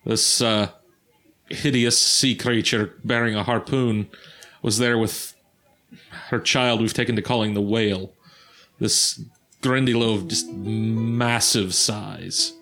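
A man's voice: lowish voice, fairly even intonation, takes it slowly, a bit bright, soft background music. A man recapping a tabletop adventure in character, with formal, old-fashioned phrasing and hesitations. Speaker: player recapping the story.

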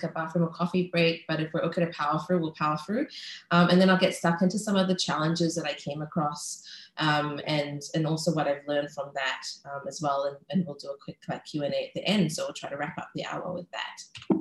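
A woman's voice: low-pitched, fairly even intonation, brisk, roomy sound, poor audio. A pregnant woman in her thirties, from Malaysia and raised in New Zealand, giving a chatty talk as a guest speaker. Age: 30s. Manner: chatty, many ums and restarts. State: heavily pregnant. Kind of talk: casual talk on a video call.

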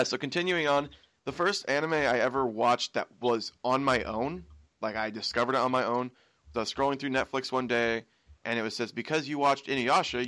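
A man's voice: mid-range voice, sharp tone, no room sound. A young American man from Washington State hosting a casual podcast chat, rambling and laughing as he tells stories. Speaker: podcast host.

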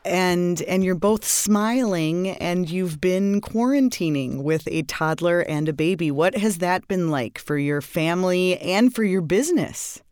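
A woman hosting a business podcast, reading a scripted intro and then interviewing guests in a studio with a bright, easy manner. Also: on the low side, measured pace, hardly any pauses, clean tone, no room sound. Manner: bright, lively, laughs easily. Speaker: podcast host and magazine editor.

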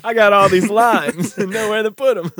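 Male co-host, a bit high-voiced, slangy, profane, casual banter. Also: steady tone, normal speed, fairly bright tone, dry recording. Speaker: podcast co-host.

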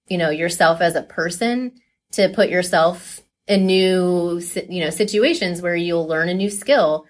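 Earnest woman with a lowish voice. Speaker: teacher, forensics team coach. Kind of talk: casual interview answers.

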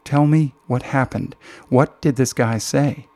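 A man's voice: medium pitch, a little slow, dark tone, no room sound, natural voice. A man reading the part of a worried young college woman in an audiobook, with a firm, urging, questioning manner. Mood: alarmed, insistent.

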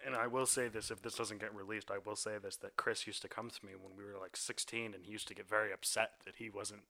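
Male podcast host, bright tone, crude teasing humor. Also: lowish voice, little pitch movement, fast, creaky. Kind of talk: casual chat. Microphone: studio podcast mic.

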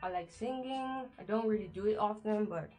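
A twenty-one-year-old woman guest on a radio show, introducing herself hesitantly with many pauses and fillers. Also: medium pitch, darkish tone. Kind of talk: casual interview chat.

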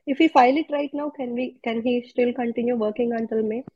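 A woman's voice: fairly high pitch, steady tone, brisk, breathy edge. A woman, calling in for advice with hesitant questions. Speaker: caller seeking advice. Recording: dull lo-fi phone conference audio.

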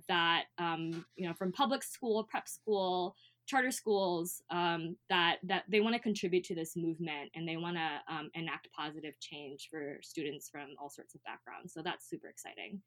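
A woman's voice: on the low side, a bit bright. A young American woman, a guest on an interview show, answering in a hesitant, filler-heavy way. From United States. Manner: hesitant, many ums and restarts. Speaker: guest, student advocate.

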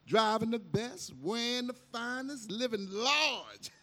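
Guy acting as a braggy folksy preacher dad in a radio play, high voice, slow delivery. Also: expressive, bright, forceful tone, exaggerated voice. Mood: proud. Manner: folksy, showy, affectionate pet names. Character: boastful, image-obsessed megachurch pastor and doting father. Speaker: actor in an audio drama. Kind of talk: audio drama acting.

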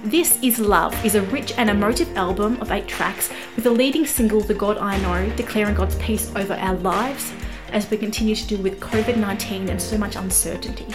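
A woman's voice: mid-range voice, brisk, music in the background. An Australian woman working as a host, speaking with effusive enthusiasm as she introduces and interviews guests. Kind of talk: scripted introduction and friendly interview chat.

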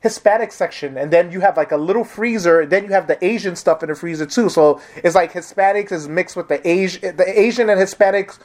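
A woman with a notably low voice hosts a relaxed conversation. She speaks candidly and bluntly, swearing without hesitation. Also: a little fast, hardly any pauses. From big city. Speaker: host. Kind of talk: casual conversation.

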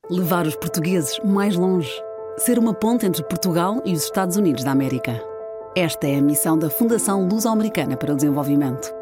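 Quick woman's voice-over over loud music. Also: fairly low pitch, expressive. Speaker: sponsor ad narrator. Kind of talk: scripted promotional voice-over.

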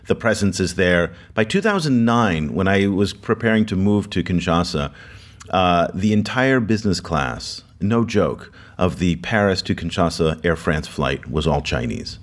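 A man with a low voice hosts a podcast in easy conversation. He tells stories at a loose, unhurried pace, with the odd "uh" along the way. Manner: relaxed, storytelling with frequent fillers. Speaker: podcast host.

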